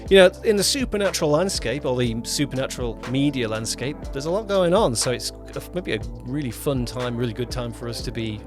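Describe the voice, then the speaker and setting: Man, podcast co-host, medium pitch, casual chat, rambling and self-correcting, faint music behind. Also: animated, fairly quick, hardly any pauses. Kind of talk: casual chat about a production.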